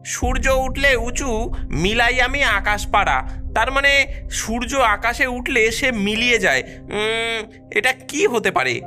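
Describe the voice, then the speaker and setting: A woman's voice: fairly high pitch, slow, sharp tone, faint music behind. A woman voicing a puzzled, anxious cartoon villager who hesitates and repeats words. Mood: puzzled, pondering.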